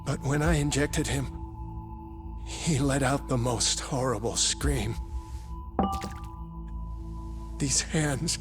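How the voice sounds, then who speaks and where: An actor playing a grave, zealous scientist in a video game cutscene, speaking in a measured, formal, insistent way. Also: male voice, mid-range voice, music in the background, close to an everyday voice. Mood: anguished. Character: coldly obsessed male scientist, grave and zealous. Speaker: medical researcher.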